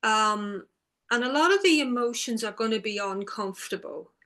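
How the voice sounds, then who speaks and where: Bright-voiced woman author. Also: a little high, expressive, normal speed. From Ireland. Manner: thoughtful, hesitant, with many ums. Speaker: author and guest. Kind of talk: interview answers.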